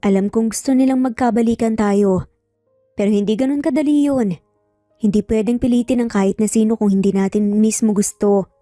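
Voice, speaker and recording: A woman playing a composed, reflective father in a story, reasoning in a measured, earnest way. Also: fairly high pitch, mellow, no room sound, clean studio sound, soft background music, close to an everyday voice. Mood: resigned, serious. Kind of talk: dramatized storytelling.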